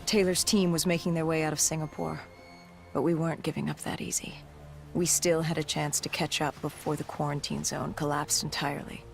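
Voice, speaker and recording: Grave, gravelly battle-worn soldier played by an actor. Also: medium pitch, level tone, music in the background, close to an everyday voice. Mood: resolute. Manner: grave, deliberate, halting storytelling. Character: battle-worn soldier recounting an undead apocalypse, grim. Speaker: video game voice actor. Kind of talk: dramatic cinematic narration.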